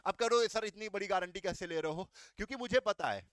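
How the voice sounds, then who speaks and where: A man's voice: sits high, a little fast, fairly bright tone, clear, resonant tone, dry recording, clean studio sound. A man teaching exam aspirants, explaining step by step, asking questions and answering them himself. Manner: explains step by step, asks and answers himself, calls students "beta". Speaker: coaching teacher. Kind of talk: exam guidance talk.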